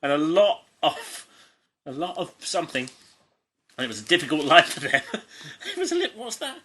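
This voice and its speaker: A man's voice: fairly high pitch, wildly swooping pitch, moderate pace, bright, forceful tone, a little hiss or hum. An English man who co-hosts a comedy podcast, reading a child's stories aloud in an amused, stumbling way with asides. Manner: giggly, often stumbles and breaks off to comment. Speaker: podcast co-host.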